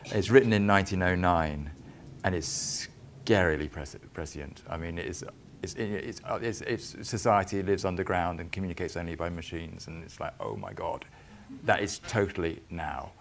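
A middle-aged man with a low, deep voice talks on a festival panel. He is eager and stumbles through quick restarts as his thoughts race ahead. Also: slight background noise. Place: large marquee with audience.

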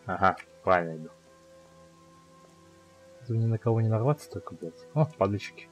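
A man giving casual, sweary let's play commentary on a fantasy game, excitable in the way he talks. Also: on the low side, normal speed, lo-fi sound, faint music behind. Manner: foul-mouthed, excitable. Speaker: gamer playing a fantasy game.

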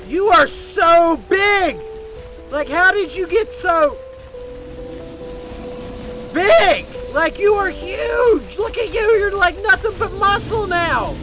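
A man improvising first-person video game roleplay in an emotional, dramatic way, repeating short exclamations. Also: very high-pitched. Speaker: gaming video creator.

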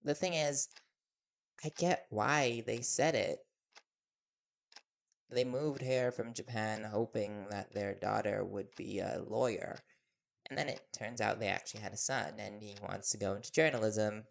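Man playing an earnest young reporter, slow and gravelly. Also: fairly low pitch, steady tone. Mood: reflective, hurt. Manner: hesitant, earnest, softly deferential. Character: earnest, nervous young male reporter boyfriend. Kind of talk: intimate audio roleplay acting.

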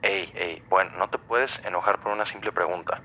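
A man's voice: sits low, steady tone, fairly quick, bright, forceful tone. A man playing a concerned, level-headed boyfriend in an audio drama, with a cautious, reassuring manner. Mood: concerned, probing. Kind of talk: naturalistic audio drama acting.

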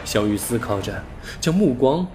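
A man reading a science fiction novel aloud as an audiobook narrator, steady and storytelling, lifting his voice on key words. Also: mid-range voice, normal speed, soft background music. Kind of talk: scripted sci-fi novel narration.

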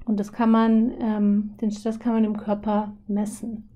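A young woman with a hoarse, husky voice from a cold, explaining a topic casually over drawings with lots of filler pauses. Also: mid-range voice, completely flat delivery, mellow. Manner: chatty, many filler pauses. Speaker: video host.

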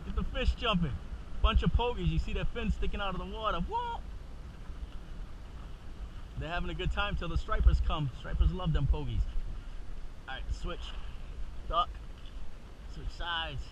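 A man's voice: sits high, moderate pace, long pauses, dull audio. A man giving casual running commentary from a small sailboat, whooping and calling out to people ashore, recorded on a boat-mounted action camera. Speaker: sailor filming his own boat trip. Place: small sailboat out on open water. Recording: loud wind and water noise.

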